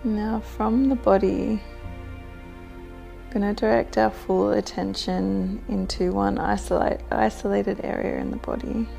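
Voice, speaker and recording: A woman leading a guided meditation with gentle, soothing instructions. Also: mid-range voice, fairly even intonation, measured pace, creaky, soft background music.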